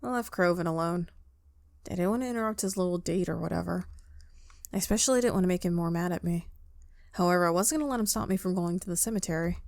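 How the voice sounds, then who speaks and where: A woman's voice: fairly low pitch, quick pace, a little mellow, no room sound, studio quality. A woman playing a story game, reading the narration aloud and dropping in casual asides of her own. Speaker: video game player reading aloud and commenting.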